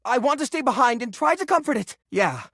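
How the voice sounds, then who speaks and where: A woman voicing an eager, bookish, nervous but brave healer in a video game, speaking in an earnest, excitable way. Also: sits high, brisk, no echo, studio quality, exaggerated voice. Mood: resolute. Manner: earnest, excitable, wholesome exclamations. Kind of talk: video game character acting, tabletop role-play.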